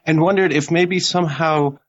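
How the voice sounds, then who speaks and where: A man calling in to a radio show, chatting casually and politely with hesitant pauses. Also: a little high, fairly even intonation. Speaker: call-in listener. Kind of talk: casual radio call-in chat. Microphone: internet call. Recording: slightly dull internet call audio.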